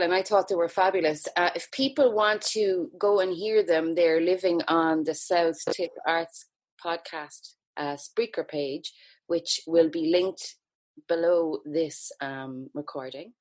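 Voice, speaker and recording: Irish lady hosting an arts podcast, lowish voice, chatty and informal. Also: a little slow. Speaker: podcast host. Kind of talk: casual interview hosting.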